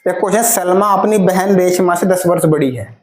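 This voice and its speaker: A man in his twenties teaching math for an exam, addressing students directly and reading word problems aloud slowly, word by word. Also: high-pitched, roomy sound. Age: 20s. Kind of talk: exam-prep video lesson.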